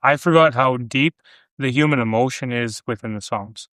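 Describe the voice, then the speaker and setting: A man's voice: medium pitch, normal speed, a bit bright, no echo. A man hosting a casual podcast chat about faith, reflective and fond of listing examples. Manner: reflective, builds lists of examples. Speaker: podcast host.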